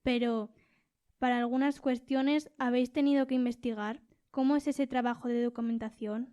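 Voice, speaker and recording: Woman, accent from Spain, high pitch, soft dark timbre, flat intonation, reading interview questions. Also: moderate pace. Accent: Spanish from Spain.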